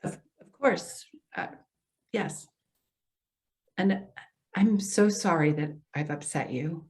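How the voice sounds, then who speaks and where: A woman's voice: fairly low pitch, takes it slowly, darkish tone. Woman playing apologetic, cautious social worker. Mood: cautious concern. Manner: hesitant, earnest, placating. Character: well-meaning female elder affairs social worker, apologetic, cautious. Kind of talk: readers theater stage acting.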